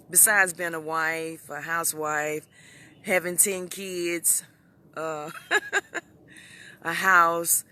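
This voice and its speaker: Bright-voiced middle-aged woman, halting motivational talk. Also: on the low side, a little hiss or hum. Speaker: motivational speaker and host of a live stream. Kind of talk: informal inspirational live talk to viewers.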